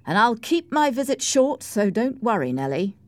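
A woman voicing a sly, menacing gentleman villain in an audiobook, assured and deliberate. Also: a little high, sing-song, no room sound, voice pushed up. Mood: defiant. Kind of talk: audiobook character voice.

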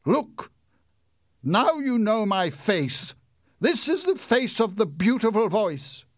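A man's voice: medium pitch, lively intonation, unhurried, darkish tone, dry recording, studio quality. A man voicing a tormented, lovesick masked male phantom, pleading and anguished.

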